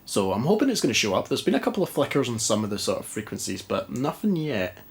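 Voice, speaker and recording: A man's voice: medium pitch, sing-song, fast. A Scottish man with a stuffy, congested voice, chatting casually and mocking himself while testing an old console in a dim living room. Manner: chatty, self-mocking, rambling. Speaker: retro game vlogger. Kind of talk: casual chat.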